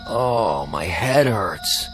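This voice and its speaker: A grumbling hungover American husband, swinging pitch. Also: male voice, lively intonation, very slow, fairly bright tone, soft background music, exaggerated voice. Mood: grumbling, nauseous. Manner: groaning, grumbling, sardonic. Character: hungover, irritable young husband from New York. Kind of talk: radio drama acting.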